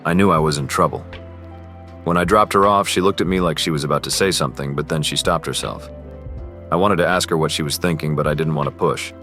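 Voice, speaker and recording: A man's voice: rumbling low, fairly even intonation, quick pace, sharp tone. A man playing an earnest, privileged teenage boy who confides and narrates in an amateur romance drama. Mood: reflective. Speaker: character and first-person narrator. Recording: music behind the voice.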